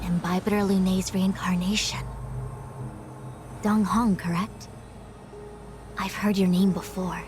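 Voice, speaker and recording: An actress playing a self-assured, scholarly master diviner in an anime game, formal and precise. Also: female voice, mid-range voice, flat delivery, a little slow, pauses often, lightly acted. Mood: poised, inquiring. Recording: music behind the voice.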